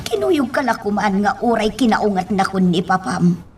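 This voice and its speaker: An actress with an Ilocano accent playing a fretful, devoted nanny in a radio drama. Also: female voice, mid-range voice, lively intonation, moderate pace, a little mellow, recorded from a distance, a little hiss or hum, natural voice. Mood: worried, scolding. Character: fretful female nanny devoted to her young ward.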